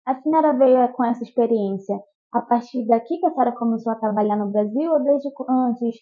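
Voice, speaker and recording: A woman's voice: fairly high pitch, a little fast. A woman hosting a podcast, politely introducing the guest and reading prepared segment introductions. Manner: polite, formal address, reads prepared intros. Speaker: podcast host. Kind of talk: podcast interview. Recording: tinny phone-like line.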